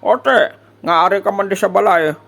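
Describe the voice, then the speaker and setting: A man's voice: high-pitched, normal speed, gravelly, a bit bright, exaggerated voice. A man playing a chatty, teasing elderly Filipino grandmother in a comic audio drama, with a pinched, exclaiming delivery.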